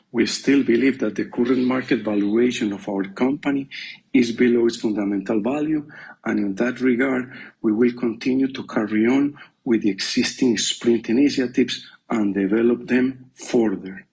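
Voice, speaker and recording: A male company executive delivers a prepared corporate presentation. His voice is husky and gravelly, with an ordinary medium pitch for a man. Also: normal speed, darkish tone, poor audio.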